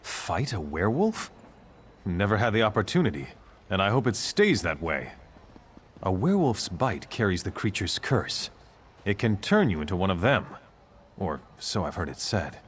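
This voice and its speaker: A stern, duty-bound guard officer from a fantasy video game. The voice is very low and expressive, grave and earnest in delivery, with faint ambient noise behind it. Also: male voice, normal speed. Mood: wary. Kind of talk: scripted fantasy game dialogue.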